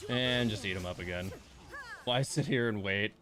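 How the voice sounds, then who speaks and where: A man streaming a video game, thinking aloud in a relaxed, informal way as he gives casual live commentary. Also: on the low side, moderate pace, crowd noise.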